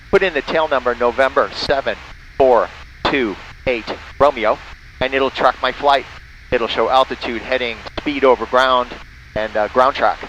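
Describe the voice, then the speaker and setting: A man's voice: medium pitch, fairly bright tone, a little hiss or hum, rough recording. An American man, a pilot and radio amateur, making radio calls and casually narrating his flight.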